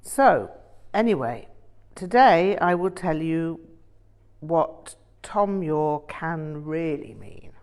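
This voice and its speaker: A woman reader voices an older Tibetan Buddhist master in an audiobook, a blunt, teasing storyteller. The delivery is slow and low, with deliberate weight on the key words. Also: animated, a little mellow. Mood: didactic, blunt. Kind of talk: audiobook character reading.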